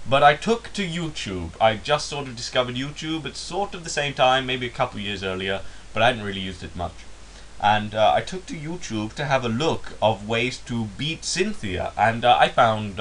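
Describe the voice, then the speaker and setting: A man's voice: fairly low pitch, a bit bright, a little hiss or hum. A young English man talking in a put-on Indian English accent, rambling and self-mocking in a casual vlog monologue. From England. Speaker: YouTuber.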